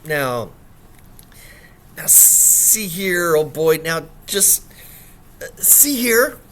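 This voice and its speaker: A man reading a story voices a drunk, blustering rich gentleman who sputters and stammers. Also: a little high, sing-song, drawn-out words, slight background noise, voice pushed up, heavily acted. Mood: mumbling.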